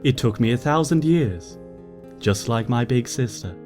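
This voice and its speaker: A man voicing a wise, gentle female moon princess pony who speaks in a formal, slightly old-fashioned way in a storybook reading. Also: medium pitch, expressive, a little slow, soft background music, close to an everyday voice. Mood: fond. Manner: formal, archaic-tinged, tender speech.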